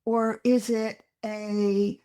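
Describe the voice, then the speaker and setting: An older woman speaks as a psychologist guest on a podcast at a slow, unhurried pace. She is earnest and reflective, and she gently makes her points as she talks. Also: mid-range voice, flat delivery. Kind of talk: interview conversation.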